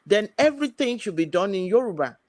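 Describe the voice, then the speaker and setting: A woman's voice: mid-range voice, big pitch swings, fast talker, a little mellow, dry recording, studio quality. A woman arguing on a live panel, hesitant with many fillers and restarts.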